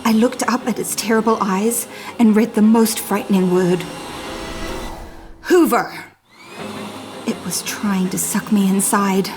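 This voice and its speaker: A woman's voice: medium pitch, steady tone, measured pace, long pauses, slight background noise, close to an everyday voice. A woman voicing a dramatic, anxious little male hamster telling his diary in a children's storybook. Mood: frightened, dramatic. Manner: melodramatic storytelling with hushed suspense and emphatic exclamations.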